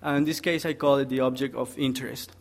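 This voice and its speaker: A man's voice: mid-range voice, fairly even intonation, fast talker, vocal fry. A young man from Peru, a graduate student, giving a technical conference presentation with many hesitations, explaining step by step.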